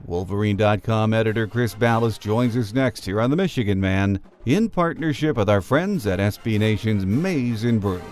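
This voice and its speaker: A man's voice: fairly low pitch, animated, normal speed, hardly any pauses. A man hosting a sports podcast, delivering prepared introductions and commentary. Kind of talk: scripted show introduction and commentary.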